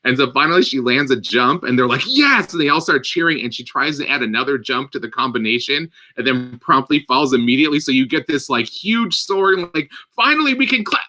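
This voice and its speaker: A man co-hosting a casual movie podcast, telling stories in an animated way with lots of filler words. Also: medium pitch, fairly quick, few pauses. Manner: animated storytelling with many "like" fillers. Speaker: podcast co-host. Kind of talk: casual chat.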